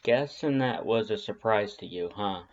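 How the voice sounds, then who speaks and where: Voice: moderate pace, muffled recording, lightly acted. A reader voicing a short, laid-back male skeleton, laconic and casual, in a fan fiction audiobook. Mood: casual, unsure. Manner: laconic, casual, low-key.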